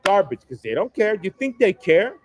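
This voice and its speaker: High-voiced man ranting on a livestream. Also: very animated, moderate pace, dry recording. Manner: emphatic, repetitive, hammering phrases. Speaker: livestream host. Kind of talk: ranting solo livestream monologue. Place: home setup, New York.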